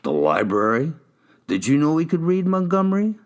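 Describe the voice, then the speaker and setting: A man voicing a gruff, sneering police detective who needles a suspect in an audiobook. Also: fairly high pitch, wildly swooping pitch, normal speed, pitched above the performer's own voice. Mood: mocking. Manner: taunting, needling. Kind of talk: audiobook character dialogue.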